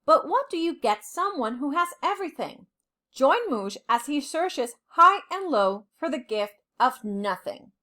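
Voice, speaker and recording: A woman reading a children's storybook aloud like a teacher, expressively and deliberately, with dramatic pauses. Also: sits high, big pitch swings, sharp tone.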